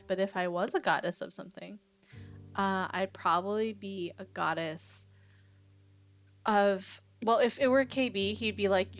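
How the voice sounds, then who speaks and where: A young woman with a Midwestern American accent, chatting casually with her stream viewers, giggling and rambling as she reads chat aloud. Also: medium pitch. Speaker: Twitch streamer. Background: faint music.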